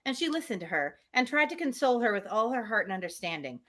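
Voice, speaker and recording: Woman, animated intonation, live book reader who drifts between narration and informal, self-interrupting chat. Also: on the high side, quick pace. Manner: chatty, self-interrupting asides to listeners. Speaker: livestream host reading a novel aloud. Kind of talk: live read-aloud of a classic novel with casual asides.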